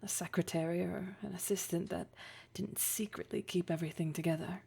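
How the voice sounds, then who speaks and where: A dark, mellow, low voice with a heavy creak belongs to a domineering , woman-acted female boss in an audio roleplay. She is poised and formal, taking her time over each phrase. There is a flirtatious, condescending tease in the way she addresses the listener. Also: steady tone, full character voice. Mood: smooth, apologetic. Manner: formal, measured, condescending, teasing, with deliberate pauses.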